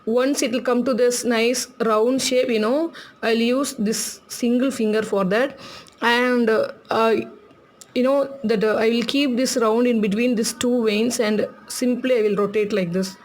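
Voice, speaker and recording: A woman's voice: on the high side, fairly even intonation. Chatty Tamil-accented woman teaching crafts. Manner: chatty, laughs often, repeats instructions slowly. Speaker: craft tutorial host.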